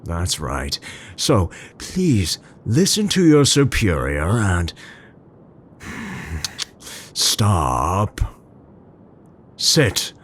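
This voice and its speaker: A man playing a tender, scholarly scientist in an intimate ASMR roleplay, speaking formally and haltingly. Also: low-pitched, sing-song, a bit bright, husky, full character voice. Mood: gently stern. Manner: halting, formal, professorial, hesitant pauses. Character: tender, scholarly Dutch male scientist, brooding but gentle.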